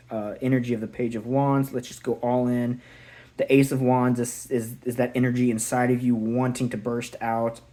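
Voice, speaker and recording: A man's voice: mid-range voice, darkish tone. Man reading tarot with a sore throat. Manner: reflective, thinking aloud with fillers and restarts. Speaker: tarot reader. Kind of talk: casual tarot reading to camera.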